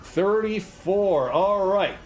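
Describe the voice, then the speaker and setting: Higher-pitched man running a tabletop game. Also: takes it slowly, darkish tone, soft background music, rough recording. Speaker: game master.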